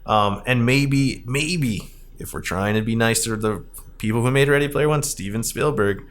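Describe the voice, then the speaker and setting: Male podcast host, average pitch, casual and emphatic, rambling conversational delivery. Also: moderate pace, fairly bright tone. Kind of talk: casual movie discussion.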